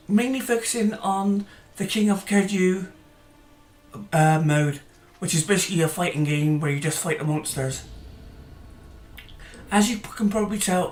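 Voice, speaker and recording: A gaming channel host giving casual, rambling commentary over gameplay, full of hesitant fillers and restarts, his voice tiring as he goes. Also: mid-range voice. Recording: roomy echo, faint music behind.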